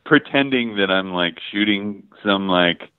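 A man's voice: low-pitched, unhurried, smooth, no echo. A middle-aged American man from the Midwest, a photographer chatting casually in an interview, telling stories with lots of fillers and the odd swear word. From Ohio and Michigan, US. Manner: relaxed storytelling, heavy filler words, casual swearing. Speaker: guest photographer. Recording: dry, phone-like line.

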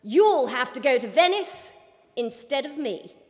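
A woman's voice: high voice, big pitch swings, unhurried, long reverb tail. Woman acting a brusque, formidable lady boss. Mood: brisk, urgent. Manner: brusque, scolding, emphatic. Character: formidable, impatient female newspaper arts boss. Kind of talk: audiobook character voice.

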